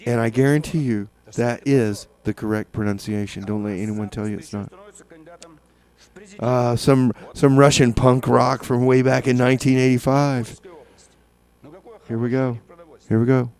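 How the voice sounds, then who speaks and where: A man's voice: medium pitch, steady tone, measured pace, a little mellow. A man hosting a music radio show with casual, hesitant, tongue-in-cheek patter, often repeating phrases for effect. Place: radio studio.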